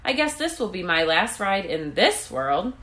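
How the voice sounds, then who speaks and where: A woman's voice: mid-range voice, big pitch swings, a little slow, fairly bright tone. A woman voicing a small, earnest male mouse in a storybook, plucky but mournful, speaking politely and plaintively. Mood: resigned.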